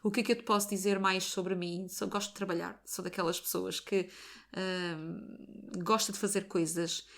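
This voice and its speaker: Chatty woman podcaster, low-pitched. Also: moderate pace, creaky. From France. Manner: chatty, self-correcting, expressive with frequent restarts. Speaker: podcast host and therapist. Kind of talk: personal monologue podcast.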